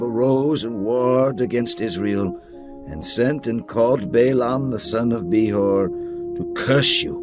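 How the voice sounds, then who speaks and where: A stately, commanding leader addresses the gathered tribes. The delivery is solemn and measured, in the manner of a dramatized scripture. Clear music runs behind the voice. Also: male voice, fairly low pitch.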